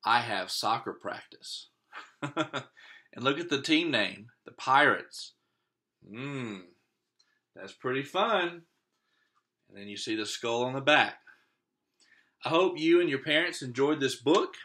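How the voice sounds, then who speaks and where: A man's voice: medium pitch, lively intonation, slow pace, pauses often, bright, forceful tone. A man reading a picture book aloud expressively as a teacher, stopping to put questions to young listeners.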